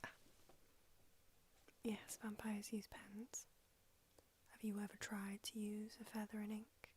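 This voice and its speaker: A woman's voice: mid-range voice, monotone, slow, a little mellow, a little hiss or hum, lightly acted. A woman whispering in an ASMR roleplay, voicing a brusque, haughty old female vampire doctor with a dry manner. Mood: whispery, brusque.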